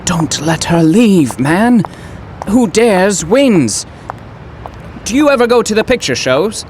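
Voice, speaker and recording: An actor playing an earnest, awkward poetry professor, flustered and florid, in old-time radio drama style. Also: male voice, high-pitched, very animated, slow pace, darkish tone, slight background noise, full character voice. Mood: nervous, eager.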